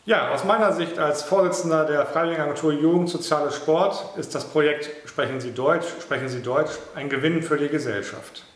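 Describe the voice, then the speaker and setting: A man in his fifties speaks as chairman of an association, fluent and formal, his voice a little higher than most men's. The room rings around him. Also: fairly quick, few pauses, reverberant. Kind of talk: prepared statement.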